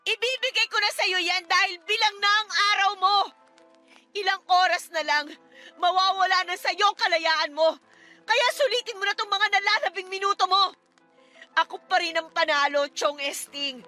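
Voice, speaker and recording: Woman acting high-pitched, bitter, vengeful young housemaid. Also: normal speed, bright, forceful tone. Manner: defiant, scornful, emotionally charged. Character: bitter, vengeful young housemaid confronting her abusive stepfather. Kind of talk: Tagalog radio drama acting. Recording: music bed under the voice.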